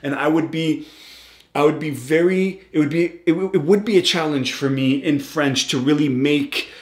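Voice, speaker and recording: A man co-hosting a reaction show, talking in an animated, rambling way with frequent restarts. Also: a little high, moderate pace, roomy sound. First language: English. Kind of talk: casual reaction commentary.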